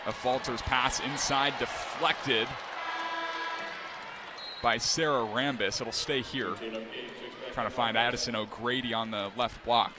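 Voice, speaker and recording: A young man calling live games as a play-by-play announcer, steady between plays and climbing sharply in pitch and volume on big moments. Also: a little slow, long pauses, noisy background. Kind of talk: live sports play-by-play commentary.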